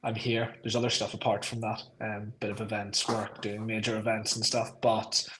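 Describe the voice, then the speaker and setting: A man's voice: on the low side, completely flat delivery, normal speed, fairly bright tone, slight background noise, rough recording. A young man with a Northern Irish accent, a podcast guest talking in an easygoing, rambling way with frequent ums. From Northern Ireland. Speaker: guest, club marketing staff. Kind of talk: casual podcast interview.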